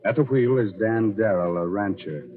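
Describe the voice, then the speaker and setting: A man's voice: fairly low pitch, normal speed, natural voice. An American man playing a creepy, sardonic horror host on 1940s radio, wry and theatrical in his delivery. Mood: ominous. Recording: muffled 1940s radio broadcast with music.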